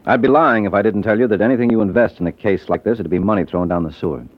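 Wry, unflappable private eye male actor, low-pitched, wisecracking, vintage radio drama with muffled sound. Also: fast talker, lightly acted. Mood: wry, businesslike. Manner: wisecracking, calls women "Angel". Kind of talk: 1950s radio detective acting. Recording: dull 1950s radio recording, faint noise.

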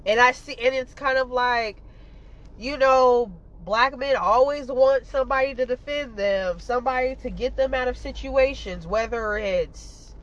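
A woman's voice: sits high, measured pace, muffled recording. A woman with a stuffy nose giving a casual, blunt commentary monologue as a podcast host, rambling and full of fillers and swearing.

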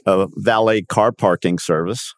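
A man in his sixties from Miami, born in Cuba, telling his life story as an interview guest in a halting, self-correcting way. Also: low voice, steady tone, no echo. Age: 60s. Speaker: podcast guest, company founder.

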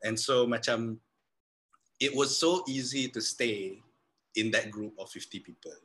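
Bright-voiced man with a Malaysian American accent. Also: mid-range voice. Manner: reflective, halting with frequent restarts. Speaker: guest. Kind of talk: casual podcast conversation.

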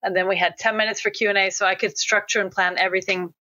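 A woman's voice: mid-range voice, level tone, fast, sharp tone, no room sound. American woman, middle-aged, rambling casual talk, fillers, dry close sound, dull lo-fi recording. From United States. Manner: chatty and rambling, with many fillers and restarts. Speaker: conference organizer. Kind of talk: casual interview conversation.

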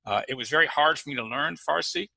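A man's voice: fairly high pitch, quick pace, gravelly, bright, forceful tone, no room sound. A middle-aged man answering interview questions casually, with many fillers and restarts. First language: English. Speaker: podcast guest.

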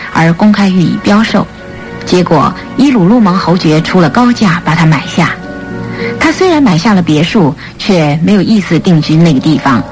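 A woman narrates a radio drama in a fairly low voice. Her delivery is formal, measured storytelling from a script, set over clearly audible background music. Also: a little mellow.

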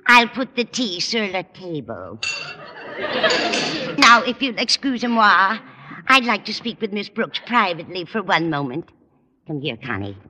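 A motherly, fussy woman in a vintage radio sitcom, frank and theatrical. Her mid-pitched voice is crisp-edged and very animated. Faint noise runs under the old recording. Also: a little slow, long pauses. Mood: politely fussy. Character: fussy, well-meaning housemate, motherly and frank. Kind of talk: old-time radio comedy acting.

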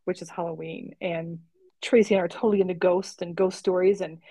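A woman's voice: lowish voice, fairly even intonation, fairly quick, warm tone, no room sound. A woman co-hosting a podcast in casual chat, chatty and laughing often. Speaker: podcast co-host.

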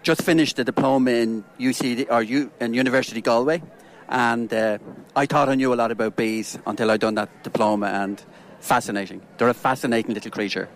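A man's voice: medium pitch, fairly even intonation, fairly quick. A middle-aged man with an Irish accent, a beekeeper at a show stall, talking in an easygoing and chatty way. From Ireland.

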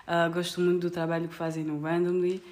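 Low-voiced young woman. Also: little pitch movement, brisk, a little mellow. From France and Angola. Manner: hesitant, many fillers and restarts, laughs easily. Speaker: guest pastry chef. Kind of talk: casual podcast interview.

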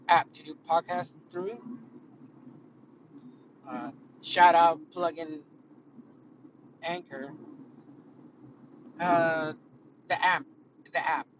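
A man from California with a raspy, spent voice gives a rambling, profane rant, heavy with fillers and false starts. His voice sits a little high for a man. The audio is dull and lo-fi, with clear vehicle noise running underneath. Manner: rambling, profane, opinionated, with many fillers and restarts. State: raspy, worn-out voice after concerts. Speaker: podcast host. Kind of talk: casual solo podcast rant.

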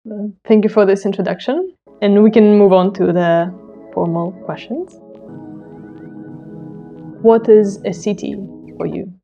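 A woman hosting a podcast interview who speaks gently and often murmurs soft agreement. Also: medium pitch, steady tone, measured pace, vocal fry, lo-fi sound, faint music behind. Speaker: podcast host.

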